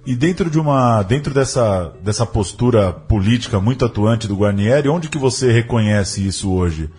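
A man's voice: lowish voice, lively intonation, normal speed, a little mellow, slightly rough, soft background music. A Brazilian man hosting a podcast, asking casual interview questions that he rephrases tentatively as he goes.

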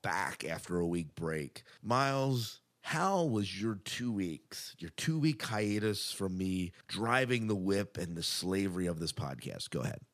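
A man's voice: low-pitched, very animated, measured pace, hardly any pauses. A man hosting a podcast in a chatty, casual way, part scripted and part off the cuff, with upbeat announcing. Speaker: podcast host.

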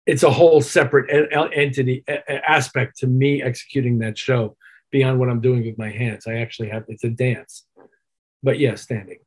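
A man's voice: medium pitch, fairly even intonation, normal speed, husky. An older man, a musician guest, talking casually in an interview with a wry, emphatic, opinionated manner. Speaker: interview guest, keyboard player and producer.

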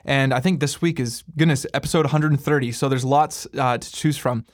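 A man's voice: medium pitch, steady tone, brisk, no room sound, studio quality. A man who hosts a podcast, talking in an upbeat, chatty way and laughing easily. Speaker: podcast host. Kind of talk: casual interview. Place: radio studio.

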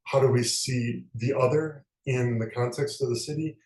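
A man's voice: medium pitch, steady tone, moderate pace, darkish tone. A man from the American Midwest is a guest novelist giving interview answers. He speaks thoughtfully and searchingly, with frequent fillers, and his voice carries a noticeable room echo.

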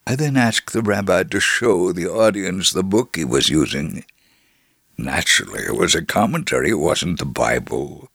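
Low-voiced man reading an earnest testimony. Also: animated, normal speed, fairly bright tone, husky, no echo, studio quality. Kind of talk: reading a first-person testimony aloud.

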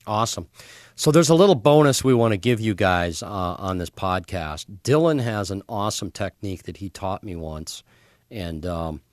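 Guy hosting a business podcast, really deep voice, stumbles a bit over questions, dry sound no room. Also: animated, no echo. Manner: halting, repeats words as he forms questions. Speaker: podcast host interviewing a guest. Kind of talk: casual business podcast interview.

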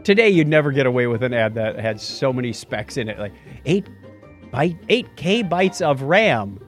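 A man's voice: mid-range voice, sing-song, normal speed, faint music behind. A man hosting a podcast, chatting casually and playfully about tech history. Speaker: podcast host.

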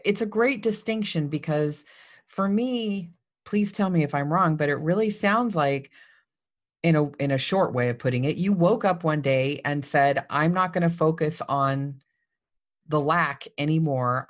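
A woman hosting a conversational interview, drawing out her guest's story and echoing it back with encouragement. Her voice sits a little low for a woman. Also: normal speed. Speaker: podcast host.

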